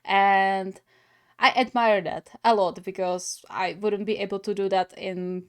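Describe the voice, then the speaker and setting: A woman's voice: medium pitch, fairly even intonation, a little slow, clear, resonant tone. A woman giving casual reaction commentary as host of a gaming video, thinking aloud hesitantly.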